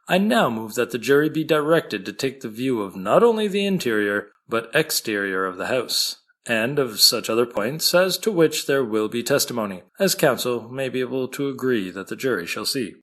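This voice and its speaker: A man's voice: mid-range voice, a bit bright. A man playing an earnest, formal prosecutor addressing the court in an 1893 trial drama. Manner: formal, oratorical.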